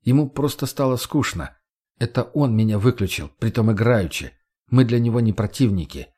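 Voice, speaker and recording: A man playing a self-assured, curt gang leader in an audiobook, speaking in a dry, calm, crude way. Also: medium pitch, moderate pace, slightly rough. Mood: resigned, firm. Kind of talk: audiobook character voice.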